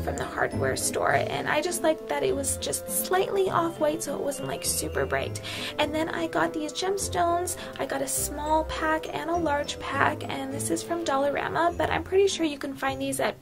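A woman in her thirties with a high voice walks viewers through a craft project. Her delivery is casual, like a home DIY tutorial, and music plays behind her. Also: vocal fry. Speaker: DIY craft video host.

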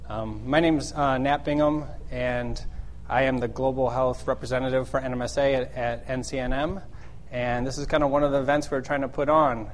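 A young man, a student organizer, introducing the speakers at a classroom talk in an informal, slightly halting way. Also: medium pitch, a little hiss or hum. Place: classroom with blackboard.

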